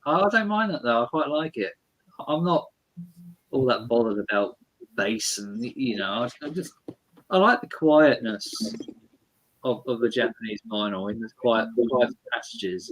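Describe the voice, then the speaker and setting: A man with a British accent in a relaxed, informal conversation about collecting records. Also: mid-range voice, unhurried, rough recording. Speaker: record collector on a chat show. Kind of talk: casual chat about vinyl records.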